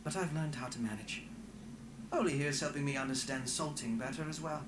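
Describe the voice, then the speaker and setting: A man's voice: a little high, fairly quick, echoey room, background noise, lightly acted. A man playing an upbeat young translator and ambassador in a fantasy video game. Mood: earnest.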